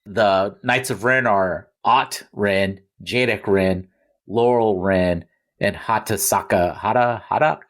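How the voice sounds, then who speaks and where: A man with a slightly low voice chats as a podcast co-host. His delivery is casual and halting, and he often corrects himself mid-thought. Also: a bit bright. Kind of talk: casual fan discussion.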